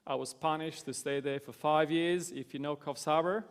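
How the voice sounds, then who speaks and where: A man's voice: a little high, fairly even intonation, moderate pace, smooth, long reverb tail. A man in his thirties, born in Romania, being interviewed on a church stage as guest pastor, earnest with self-deprecating humour. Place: church stage before a congregation.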